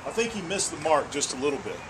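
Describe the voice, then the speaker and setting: A man's voice: a little high, sing-song, fast. An earnest man speaks at a town hall, in a slightly raised register. He often repeats words when he restarts a sentence. A faint hiss of noise sits under his voice. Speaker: state senator.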